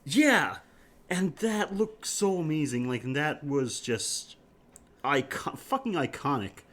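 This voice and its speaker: A man's voice: a little high, animated, slow pace, fairly bright tone. A man co-hosting a podcast, discussing film casually with emphatic, frequent swearing. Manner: profane, emphatic. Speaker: podcast co-host.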